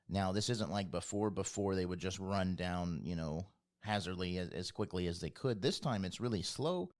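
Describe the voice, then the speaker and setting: A man working as game master, improvising scene narration in an informal, descriptive way. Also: deep voice.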